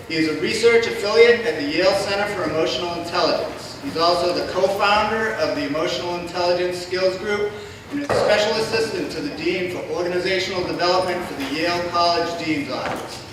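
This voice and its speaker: High-voiced guy reading an intro from far off the mic, really echoey hall, audible noise behind. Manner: reading a bio, light joking. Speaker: event host introducing the speaker. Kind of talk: formal introduction. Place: lecture hall.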